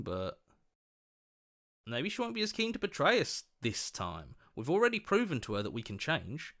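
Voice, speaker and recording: An earnest young video game hero, speaks in a mid-pitched voice that moves with lively swings. He is hopeful and sincere, with an expressive, protesting manner. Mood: doubtful, wry.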